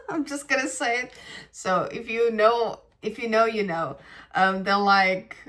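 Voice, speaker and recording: Woman musing, halting and searching. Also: on the high side, a bit bright. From South Africa. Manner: meandering, searching for words, frequent restarts. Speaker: podcast host. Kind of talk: reflective spiritual monologue.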